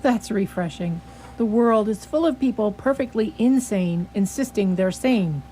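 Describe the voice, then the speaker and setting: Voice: mid-range voice, animated, unhurried, dark tone, a little hiss or hum, lightly acted, rough recording. A performer playing a brusque, weary, sardonic asylum doctor in a radio play, curt and dry. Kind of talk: radio theatre acting.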